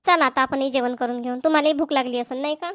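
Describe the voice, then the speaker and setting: A woman's voice: high voice, fairly even intonation, quick pace, fairly bright tone, no echo, studio quality. A woman voicing a plain-spoken middle-aged village mother in a family cartoon, with a Varhadi Marathi accent. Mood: homely. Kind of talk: cartoon family comedy voice acting.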